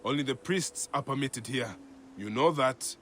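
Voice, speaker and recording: An actor playing a warrior who is a gentle, playful uncle to children, theatrical, in a video game. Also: male voice, mid-range voice, measured pace, slight background noise, lightly acted. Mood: gently firm. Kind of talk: video game dramatic acting.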